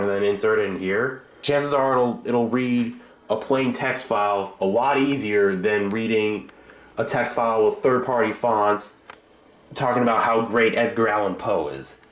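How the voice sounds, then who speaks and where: Male hobby vlogger, lowish pitch, casual and rambling, self-correcting, recorded in a reverberant home room. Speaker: hobbyist video host reviewing his radio collection. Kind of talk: unscripted casual show-and-tell commentary. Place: indoors in rooms at home. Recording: echoey room with faint background hiss.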